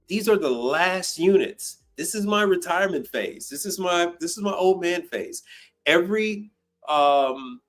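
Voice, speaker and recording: A man in his fifties speaks off the cuff in a fairly high voice, with music behind him. He is blunt and emphatic, doubling back on phrases for punch and swearing freely as he hosts a livestream. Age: 50s. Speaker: livestream host, commercial appliance service technician. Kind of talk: unscripted livestream talk answering viewer questions.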